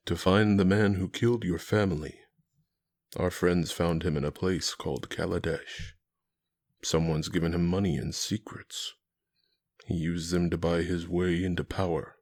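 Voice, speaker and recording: A performer voicing a giant, solemn male cat-warrior in an audio drama, low and hushed, speaking gravely in few words. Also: fairly even intonation. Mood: grave, gentle. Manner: slow, terse, grave. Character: giant gentle solemn cat-man warrior, protective and sorrowful.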